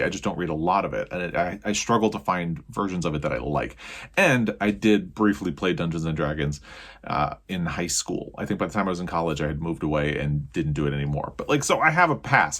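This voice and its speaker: A man's voice: deep voice, a bit bright, breathy edge. A man giving a casual, chatty book review as a video host, leaning on key words and adding self-qualifying asides.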